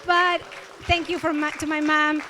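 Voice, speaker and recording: A woman from Ecuador with an Ecuadorian Spanish accent, giving an earnest, grateful award acceptance speech with pauses and fillers. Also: sits high, flat delivery, pushed and bright, a little hiss or hum. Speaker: award honoree.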